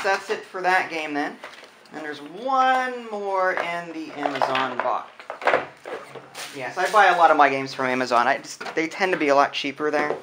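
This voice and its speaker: A man's voice: a little high, pushed and bright, slight background noise. A man hosting an unboxing video, chatting casually and thinking aloud as he handles things. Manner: informal, thinking aloud while handling items. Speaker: host of a board game unboxing channel. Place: indoors at a wooden table.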